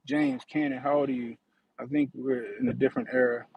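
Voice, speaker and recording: A man's voice: mid-range voice, fairly even intonation, fairly quick, vocal fry, darkish tone, muffled recording, a little hiss or hum. A man in his thirties hosting a casual livestream, talking in a slangy, profane way and often asking "you know what I mean". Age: 30s. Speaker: livestream host.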